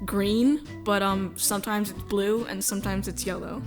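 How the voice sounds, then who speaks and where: Midwest woman chatting as a guest, laid back, rambly, medium pitch, faint music behind. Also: fairly even intonation, moderate pace. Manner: easygoing, rambling, laughs often. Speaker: voice actor, interview guest. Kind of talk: casual chat.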